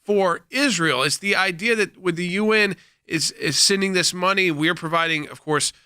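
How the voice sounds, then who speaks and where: A man's voice: high voice, normal speed, sharp tone, smooth, no echo, clean studio sound. A man co-hosting a live call-in talk show, explaining points steadily.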